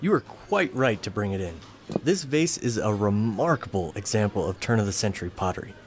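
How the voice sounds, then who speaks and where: A man's voice: fairly low pitch, animated, fairly quick, soft background music, close to an everyday voice. A man playing a polite, puzzled antiques appraiser in a comedy sketch. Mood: courteous, expert.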